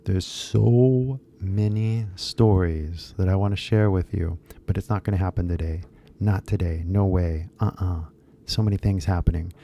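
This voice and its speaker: Gravelly low-voiced man, rambling chat. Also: moderate pace, dark tone, a little hiss or hum. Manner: rambling, heavy fillers, switches into Japanese. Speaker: English teacher YouTuber. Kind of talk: casual live stream chat.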